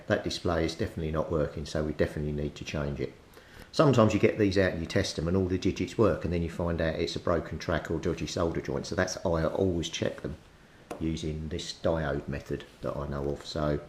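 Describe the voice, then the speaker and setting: A man's voice: deep voice, slightly rough. A man giving an informal hands-on repair tutorial, explaining methodically step by step. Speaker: presenter of a hobby repair tutorial.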